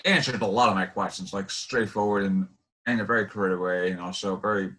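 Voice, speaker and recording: A young man hosting an informal interview, with halting phrasing that often repeats itself. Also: low voice, steady tone, fairly bright tone. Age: young adult. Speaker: interview show host.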